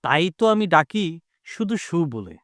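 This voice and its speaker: A man's voice: fairly high pitch, very animated, measured pace, a bit bright, no echo, studio quality. A man playing a carefree young village lad who fishes, teasing and familiar, in an audio drama. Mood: fond grumbling. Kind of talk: Bengali audio drama acting.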